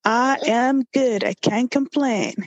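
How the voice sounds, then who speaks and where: A woman's voice: a little high, slow pace, no room sound, muffled recording. A woman hosting a podcast, introducing and questioning her guest in a friendly way. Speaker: podcast host. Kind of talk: interview.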